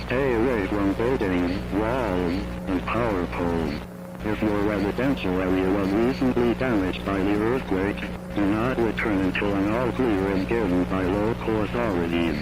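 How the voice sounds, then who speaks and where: A man reading a scripted emergency warning as a broadcast announcer, formal and measured. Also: lowish voice, poor audio. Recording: loud background music over the voice. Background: loud music.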